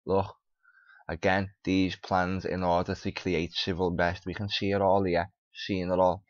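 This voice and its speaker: Very deep, monotone man on a dull mic. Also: a little slow, no echo. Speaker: video host, commentator. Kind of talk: reading an article aloud with off-the-cuff commentary.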